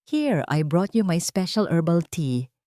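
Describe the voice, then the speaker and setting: A woman's voice: sing-song, a little slow, mellow, dry recording. A woman voicing a cold, scheming rich mother-in-law who sounds sweet but mocking. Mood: falsely sweet. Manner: falsely sweet, mocking, turns shrill.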